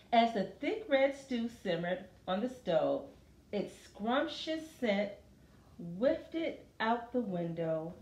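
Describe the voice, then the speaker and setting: A woman reading a children's story aloud expressively, pausing to put questions to her listeners. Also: mid-range voice, a little mellow. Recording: roomy, echoey sound.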